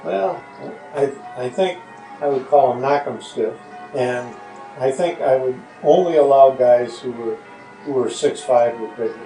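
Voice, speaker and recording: Distant, echoey older man with mellow voice. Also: mid-range voice, a little slow, soft background music. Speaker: recurring interviewee. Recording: echoey room, far from mic.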